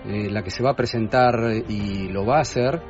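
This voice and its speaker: A man's voice: lowish voice, moderate pace. Male co-host, film music critic, dull sound with a music bed. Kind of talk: radio chat.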